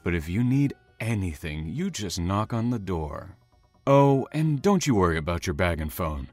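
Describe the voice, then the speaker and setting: A man's voice: low-pitched, big pitch swings, normal speed, a little mellow, soft background music. A man playing a sinister serial killer in an audio drama, with a patronizing, falsely friendly manner. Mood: falsely soothing menace. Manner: soothing, patronizing menace, casual with friends.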